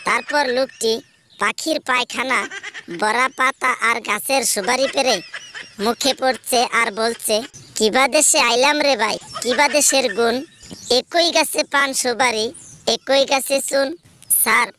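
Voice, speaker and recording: A woman plays a cheeky cartoon schoolchild with a very high, bright voice. The pupil speaks with cocky, matter-of-fact confidence. There is faint noise on the recording. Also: a little slow. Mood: confident, storytelling. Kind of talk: comedy cartoon dialogue.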